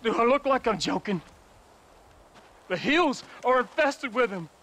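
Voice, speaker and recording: A frantic, shrill frontier hunter. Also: male voice, unhurried, a little hiss or hum, full character voice. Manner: rambling, excitable, shouting. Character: frantic old male frontier hunter, wild-eyed. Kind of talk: video game cutscene acting.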